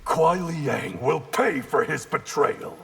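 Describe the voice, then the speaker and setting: An actor playing a cold, bitter male clan leader of assassins in a video game, curt and menacing, with a very gravelly voice. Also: a little high, lively intonation, slow pace, fairly bright tone, exaggerated voice. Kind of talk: video game character dialogue.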